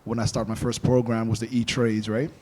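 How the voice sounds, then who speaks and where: A man's voice: mid-range voice, a little fast. A young man from Angola whose first language is Portuguese, telling stories casually on a panel podcast and acting out what others said. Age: young adult. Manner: storytelling, animated quoting of others. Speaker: podcast guest.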